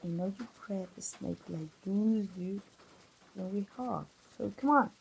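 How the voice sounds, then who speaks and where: A woman's voice: mid-range voice, measured pace, vocal fry, darkish tone, slight background noise, rough recording. A woman, voicing a chatty, teasing young best friend in an ASMR roleplay, playful and familiar, as if speaking straight to the listener. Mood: teasing, friendly. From Mexico. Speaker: voice actor.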